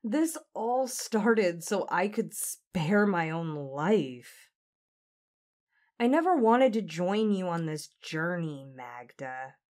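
Woman playing a slow, low-voiced proud demon princess. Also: big pitch swings, darkish tone. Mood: bitter, resolute. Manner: solemn, measured, formal. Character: proud, guarded demon princess, coldly determined. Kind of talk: audio drama acting.

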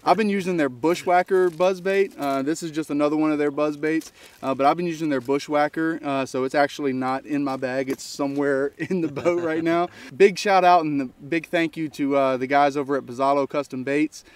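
A man's voice: fairly high pitch, fairly even intonation, brisk. A man, co-hosting a fishing channel in casual chat, talking in an easygoing way through results and thanks.

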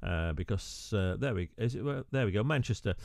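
A man's voice: on the low side, animated, a little fast, creaky, darkish tone, no room sound, clean studio sound. A male radio presenter talking through a screen-recorded tutorial, chatty with wry asides and frequent "uh" fillers.

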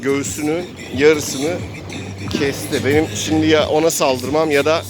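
A man's voice: fairly high pitch, steady tone, unhurried, music in the background. A man casually improvising narration as he plays a role-playing game.

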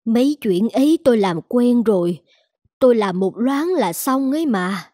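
Woman as a mellow, humble elderly cartoon housekeeper. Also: a little high, expressive, normal speed, no room sound, clean studio sound. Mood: humble, pleading. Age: older. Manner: humble, coaxing. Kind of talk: scripted cartoon dialogue.

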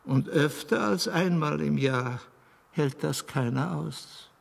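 An actor playing the Moon as a patient, deliberate fairy-tale advisor in a radio play. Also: male voice, on the high side, vocal fry, heavily acted. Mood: instructive, cautioning. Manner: deliberate, explanatory, with weighty pauses.

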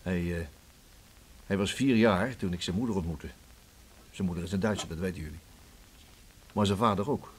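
An actor with a Dutch accent playing an anxious, hesitant father in a radio drama, speaking haltingly. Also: sits low, a little hiss or hum, close to an everyday voice. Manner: halting, stammering. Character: anxious, hesitant father worried for his son.

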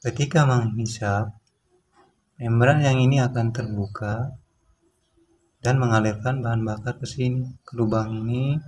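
A man walks through a repair at his workbench, explaining each part in a plain, practical way with plenty of fillers. His voice sits at a middle pitch, and faint background noise runs under the recording. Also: a little mellow, poor audio. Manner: plain, explanatory, with frequent fillers and tag words. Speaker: small engine repair instructor. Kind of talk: hands-on repair tutorial.

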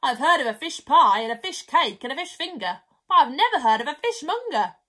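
A big, curious storybook pelican acted out by a woman reader. The voice is high and very animated, calling out loudly in drawn-out sing-song phrases. It sounds hungry and full of itself. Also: heavily acted. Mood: curious, puzzled. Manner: loud, exclaiming, drawn-out sing-song delivery.

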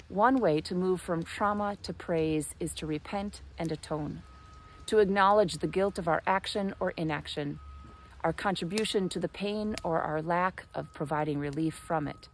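A woman in her forties, a pastor, giving a measured, earnest devotional reflection outdoors by a snowy fire pit, filmed on a phone at arm's length. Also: lowish voice. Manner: measured, earnest, lyrical delivery. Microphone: phone camera at arm's length.

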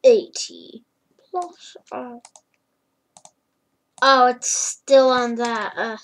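A child narrating a homemade number-block animation in a slow, wondering way, with many hums and murmurs. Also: mid-range voice, fairly even intonation.

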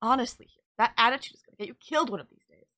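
A woman's voice: on the high side, racing, pushed and bright. A woman playing a sardonic villain nemesis in an audio roleplay, scolding and teasing the listener in an exasperated but caring way. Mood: scolding care.

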